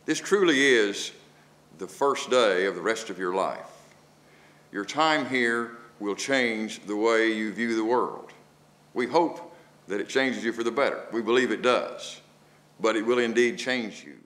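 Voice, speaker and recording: A man's voice: fairly low pitch, measured pace, a bit bright. An older man, a law school dean, giving a formal welcome speech from a podium in a wood-paneled hall. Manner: formal, declaiming. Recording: echoey hall.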